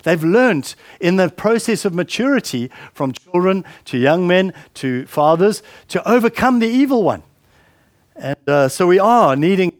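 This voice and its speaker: A man's voice: a little high, no echo. A man preaching a sermon at church, rambling and conversational with many fillers and asides. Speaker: pastor. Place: church hall. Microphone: handheld mic with a weak battery.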